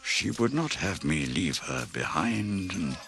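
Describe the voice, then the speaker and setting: Dying old king in a radio play, super low halting voice, weak but noble, noisy behind. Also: very animated, a bit bright, exaggerated voice. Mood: dying, tender. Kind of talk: radio drama acting.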